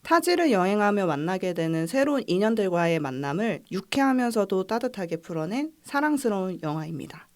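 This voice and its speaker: A woman's voice: mid-range voice, sing-song, a little fast. Young woman host, mid-range voice, composed presenter reading out a film pick. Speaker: podcast host. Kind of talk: casual chat and film recommendation.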